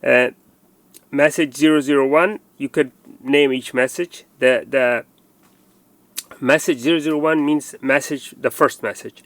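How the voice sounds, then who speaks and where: A man's voice: mid-range voice, fairly even intonation, unhurried, a bit bright, dry recording. A man presenting a hands-on installation tutorial, explaining step by step and often repeating himself with fillers.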